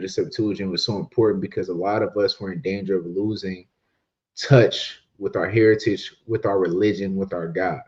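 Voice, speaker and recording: A man's voice: on the low side, darkish tone, muffled recording. A man co-teaching a bible study, explaining history at length from his notes. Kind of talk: casual teaching talk.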